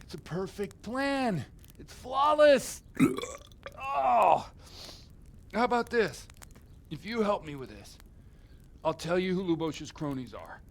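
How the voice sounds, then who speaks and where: A scheming village priest nursing a hangover, in video game style. His voice sits fairly high, and he talks in a dramatic, groaning, coarse-mouthed way, panicky and wheedling by nature. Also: animated, slow, vocal fry, pauses often, full character voice. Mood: scheming, pleading. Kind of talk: video game cutscene acting.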